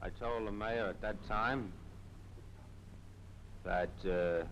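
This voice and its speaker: Very deep, gravelly American male witness. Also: fairly even intonation, takes it slowly, a bit bright. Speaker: witness, firemen's union president. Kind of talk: hearing testimony. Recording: faintly noisy 1950s hearing room newsreel.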